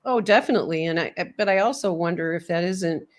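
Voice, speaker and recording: A woman with a fairly low voice hosts a relaxed sports chat. She breaks down the game in a steady, measured, analytical way. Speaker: host.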